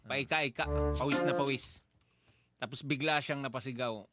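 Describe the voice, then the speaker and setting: A man's voice: medium pitch, steady tone, normal speed. A man with a Filipino accent, a radio host telling a comic story in playful, teasing banter that breaks easily into laughter. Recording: music playing behind the voice. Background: loud music bed.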